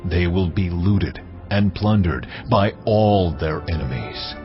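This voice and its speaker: A man voicing God in a dramatized Bible reading, slow and weighty in his pronouncements. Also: sits low. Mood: solemn. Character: God speaking, male, solemn and stern. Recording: dull lo-fi audio over music.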